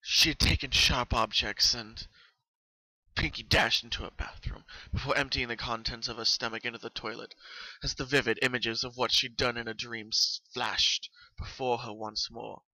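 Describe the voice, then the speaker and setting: A man narrates a dark horror story in a solemn, dramatic way. His voice is very bright and tense, at a medium pitch, and recorded very dry. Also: steady tone, moderate pace, dry recording, low-quality recording. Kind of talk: dramatic reading of horror fan fiction.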